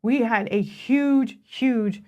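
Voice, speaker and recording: A woman's voice: fairly high pitch, slow pace, darkish tone, highs cut off. A woman with a North American accent, co-hosting a podcast and reminiscing in a casual, personal way.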